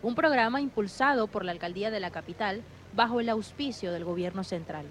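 A woman reporting from the field, reading her news story in a measured, even way. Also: medium pitch, heavy background noise. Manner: even, measured newsreader delivery.

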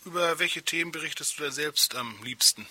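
A man's voice: a little high, brisk, pushed and bright, slight background noise. A man presenting a radio programme as host and interviewer. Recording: music behind.

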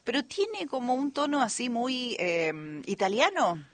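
A woman's voice: high voice, big pitch swings, a little slow, dry recording. A woman with a Rioplatense Spanish accent, a radio host chiming in with short reactions. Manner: brief reactive interjections. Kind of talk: radio chat.

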